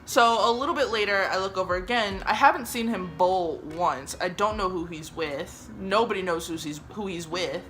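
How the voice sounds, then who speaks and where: A woman's voice: mid-range voice, moderate pace, few pauses, slight background noise. A young woman from Germany telling a story in a casual, chatty way, swearing often. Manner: chatty, swears often, lively re-enacted quotes.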